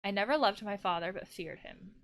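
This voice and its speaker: A woman voicing a bitter, brooding man who confides as a therapy patient in a visual novel, read in an earnest, measured way. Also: mid-range voice, lightly acted. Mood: grieving, fearful. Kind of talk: reading visual novel dialogue aloud.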